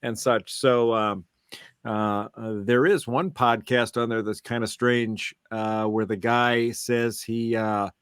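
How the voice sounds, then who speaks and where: A man's voice: mid-range voice, steady tone, takes it slowly, clear, resonant tone, dry recording, clean studio sound. A man hosting a casual podcast chat in a rambling, hesitant way. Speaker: podcast host.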